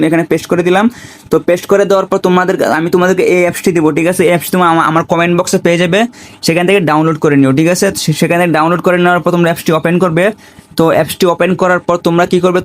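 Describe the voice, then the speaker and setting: A man giving an informal step-by-step tutorial, often checking in with repeated tags. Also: sits high. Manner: repetitive, with frequent check-in tags. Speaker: gaming tutorial creator.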